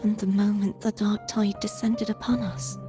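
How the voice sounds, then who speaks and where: A woman playing a gentle, solemn young holy maiden in a video game, soft, earnest and formal. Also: medium pitch, little pitch movement, moderate pace, music in the background, natural voice.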